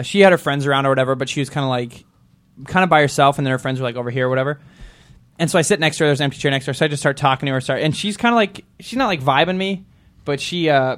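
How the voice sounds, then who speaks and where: A man hosting a casual talk show, telling rambling stories and laughing through them. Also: mid-range voice. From Montana. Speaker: show host. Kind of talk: casual storytelling chat.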